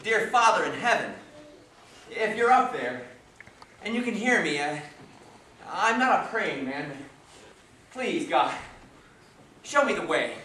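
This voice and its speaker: A man's voice: high voice, sharp tone, slight background noise, full character voice. An actor on stage playing a despairing, bewildered man who pleads and prays. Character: despairing grown man at the end of his rope. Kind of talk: stage play acting. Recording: echoey stage from far off.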